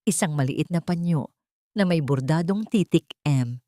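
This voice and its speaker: Low-voiced woman narrating a dramatic story. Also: animated, measured pace, mellow, no echo, clean studio sound.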